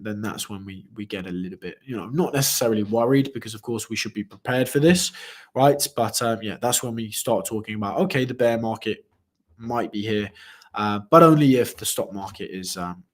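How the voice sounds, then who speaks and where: A man's voice: on the low side, a little fast. Rambling man hosting a trading stream. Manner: rambling, many fillers and repeated restarts; speaks to chat viewers directly. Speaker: crypto trading livestream host. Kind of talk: casual live stream commentary.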